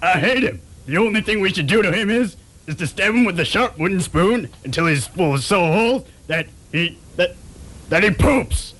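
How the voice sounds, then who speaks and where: A man's voice: high-pitched, expressive, normal speed, fairly bright tone. A man playing a whiny, spiteful, easily cowed junior police officer in an amateur comedy radio drama.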